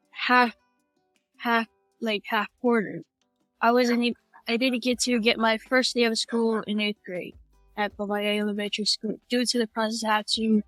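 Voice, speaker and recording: A teenage woman from American Samoa, a high school student telling her story in a casual, rambling way with many restarts. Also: a bit bright, dry recording, low-quality recording. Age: teens. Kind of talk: casual interview chat.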